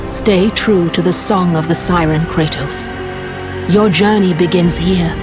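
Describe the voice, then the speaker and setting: A woman playing a solemn goddess guiding a warrior on a quest in a video game, grave and measured. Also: on the low side, close to an everyday voice. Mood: solemn, commanding. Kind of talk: dramatic video game acting. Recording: loud music behind. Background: loud cinematic music.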